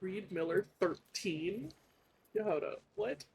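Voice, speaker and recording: A woman's voice: medium pitch, expressive, takes it slowly, darkish tone, slight background noise, rough recording. A woman commenting casually on a game as she streams, with dry, teasing asides.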